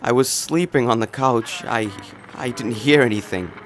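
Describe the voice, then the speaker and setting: A man's voice: medium pitch, moderate pace, a little hiss or hum, close to an everyday voice. An actor playing a middle-aged father broken by grief, weeping, with a weak, cracking voice, in a horror audio drama. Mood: weeping, pleading.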